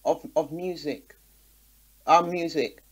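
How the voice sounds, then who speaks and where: A woman's voice: sits low, slow pace, slight background noise, poor audio. A woman speaking in a passionate, conversational radio discussion, emphatic and punctuating her points with rhetorical questions. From Caribbean community in the UK. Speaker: radio talk show guest.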